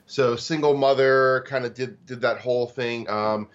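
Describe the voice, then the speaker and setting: A man in his forties, a guest in an interview, talking in a halting way with many fillers and restarts. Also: medium pitch, fairly even intonation, muffled recording. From Tacoma, Washington, US. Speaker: guest, cybersecurity executive. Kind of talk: interview conversation.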